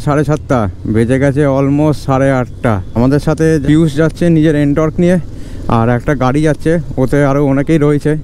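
Chatty motorbike-riding man, loud road noise. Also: a little high. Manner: chatty, scolds careless road users aloud. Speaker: motovlogger. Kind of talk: casual ride commentary. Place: outdoors on a moving motorbike. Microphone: helmet-mounted camera mic. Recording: wind and traffic noise on a helmet mic.

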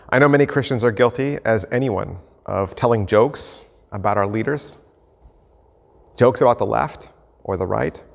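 A man in his fifties, an American pastor, preaching an earnest, measured sermon to camera from an empty church sanctuary. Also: lowish voice, vocal fry. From United States. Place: empty church sanctuary, indoors, mid-sized. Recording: reverberant church hall.

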